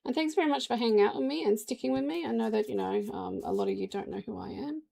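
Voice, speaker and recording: A woman live streamer with the flu, hoarse, chatting casually and rambling to her viewers. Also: fairly high pitch, quick pace, warm tone.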